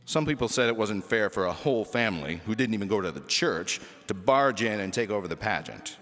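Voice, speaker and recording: A man reading a children's story aloud as lively scripted narration. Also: on the low side, a little fast. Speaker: storybook reader. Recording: echoey hall reverb with music.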